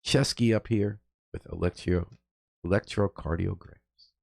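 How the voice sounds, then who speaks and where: A middle-aged man hosting a local music show, giving casual, conversational introductions with some halting phrasing. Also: sits low, vocal fry, a little mellow.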